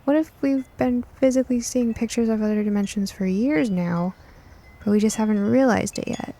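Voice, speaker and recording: A woman's voice: a little high, dark tone, voice pushed up. A woman voicing a curious, cautious young girl who speaks hesitantly in an audio story. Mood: thoughtful. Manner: hesitant, halting. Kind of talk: audio fiction character dialogue.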